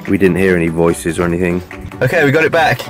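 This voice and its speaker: British man vlogging outdoors, loud music behind. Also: low voice, big pitch swings, quick pace. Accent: British English. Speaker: vlogger reviewing walkie-talkies. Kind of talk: casual outdoor vlog chat. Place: outdoors, windy.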